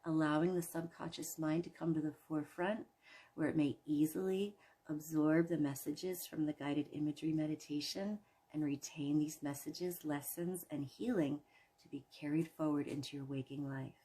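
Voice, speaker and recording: A woman leading a guided imagery relaxation in a soothing, gentle manner, leaving long pauses between phrases. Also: sits low, fairly even intonation, husky, low-quality recording. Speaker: guided meditation host.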